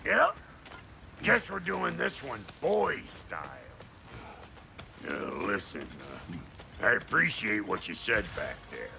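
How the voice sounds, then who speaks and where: An actor playing a gruff, foul-mouthed dwarf blacksmith in a video game, blunt and folksy, with a gravelly voice. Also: male voice, mid-range voice, sing-song, slow, pauses often, sharp tone, slight background noise, full character voice. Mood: upbeat, coaching. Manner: folksy, blunt, colorful cussing. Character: gruff, foul-mouthed, cantankerous little dwarf blacksmith, middle-aged man. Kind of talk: video game character acting.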